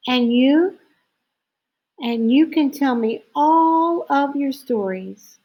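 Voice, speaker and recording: A sweet little boy owl from a storybook , voiced by a woman, earnest and eager. The voice is high and slow, with gentle excitement. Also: dull audio. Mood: warm promise.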